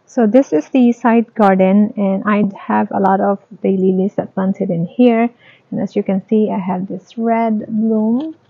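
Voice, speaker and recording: Slow halting woman showing off her garden, gravelly voice, tinny dry phone-like sound. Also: medium pitch, fairly even intonation, no room sound. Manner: slow, halting, plain descriptive talk. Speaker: home gardener giving a tour. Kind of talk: casual garden tour narration.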